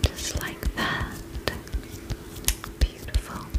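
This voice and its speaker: A woman whispers breathily in an ASMR roleplay, playing a spa attendant. Her pace is extremely slow and drawn out. She soothes the listener with short, repeated reassurances.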